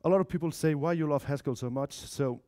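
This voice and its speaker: A man's voice: on the high side, fast, mellow. A man in his thirties with a Danish accent gives an informal technical talk, speaking in a chatty way with asides to his audience. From Denmark or Spain, of mixed Spanish-Danish family. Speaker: conference speaker, software developer. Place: lecture room, indoors.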